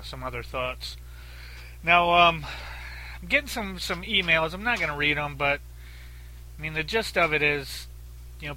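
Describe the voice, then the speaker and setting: A man's voice: medium pitch, pushed and bright, slight background noise, poor audio. A man with a very nasal voice, hosting a solo podcast in a blunt, profane, rambling way with lots of fillers. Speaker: podcast host. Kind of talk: casual solo podcast talk.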